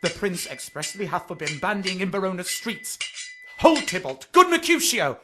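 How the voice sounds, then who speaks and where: A man's voice: sits high, sing-song, moderate pace, sharp tone, slight background noise, exaggerated voice. An actor playing an earnest, passionate young nobleman in a Shakespearean radio drama, with declamatory delivery. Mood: alarmed.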